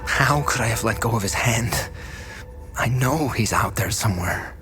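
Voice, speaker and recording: A man's voice: mid-range voice, lively intonation, moderate pace, sharp tone, soft background music. A man playing an anguished, determined father and history teacher in a video game drama, earnest and burdened.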